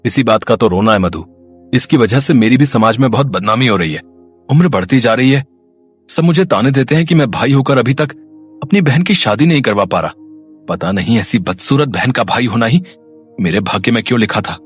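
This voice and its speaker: A man acts out a bitter adult brother in a cartoon family drama. His rough, husky voice complains with wounded shame. Music sits behind him. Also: mid-range voice, lively intonation, fairly quick. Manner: aggrieved, complaining.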